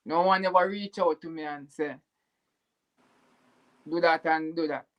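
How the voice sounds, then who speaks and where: A man's voice: on the high side, fairly even intonation, a little slow, lo-fi sound. A Jamaican man speaking in an earnest, heartfelt way as a guest in a casual chat, sometimes repeating words. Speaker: YouTuber guest.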